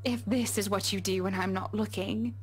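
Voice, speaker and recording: An actress playing a stern, exasperated wife with a husky voice and a dry, scolding manner in a game. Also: female voice, medium pitch, steady tone, moderate pace, soft background music, natural voice.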